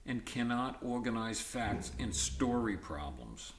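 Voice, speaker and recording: Slow-paced man reading aloud, roomy sound. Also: medium pitch, big pitch swings, heavy background noise. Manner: halting list-like delivery with ad-libbed comments. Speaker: reader of an educational book. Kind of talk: reading a textbook aloud with informal asides.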